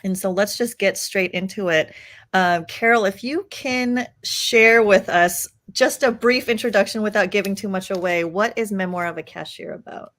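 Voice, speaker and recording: A woman at a mid pitch opens a live online book talk and welcomes the viewers. She introduces her guest and explains the session in a clear, organized way. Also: steady tone, moderate pace, fairly bright tone. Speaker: host and co-director.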